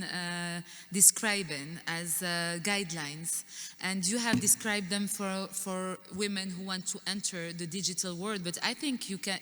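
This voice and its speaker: A woman moderates a conference panel in a bright, pointed voice, with fillers threaded through her remarks. Her words ring out with a long reverb in a large hall. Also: medium pitch.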